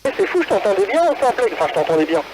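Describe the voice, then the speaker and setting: A man's voice: sits high, sing-song, racing. A man chatting casually and hesitantly as an amateur radio operator, transmitting over a two-way radio from a car. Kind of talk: casual radio chat between hams. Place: in a car, mobile. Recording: very noisy ham radio relay transmission. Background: loud radio and vehicle noise.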